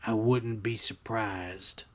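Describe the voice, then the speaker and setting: A man's voice: lowish voice, fairly even intonation, slow, loud music behind the voice. A man acting a laconic, folksy saloon keeper in a western radio drama, understated in manner. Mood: wary.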